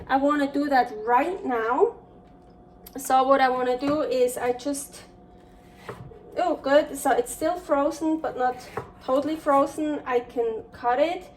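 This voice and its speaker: A young Swiss woman with a noticeable accent talks through a recipe in her home kitchen. Her voice sits high and her pace is slow, full of fillers and restarts as she thinks aloud. The mic is some distance off, with a faint hum of room noise behind her. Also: steady tone. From Switzerland. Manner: chatty and rambling, many fillers and restarts. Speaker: cooking show host. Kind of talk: casual cooking vlog chat.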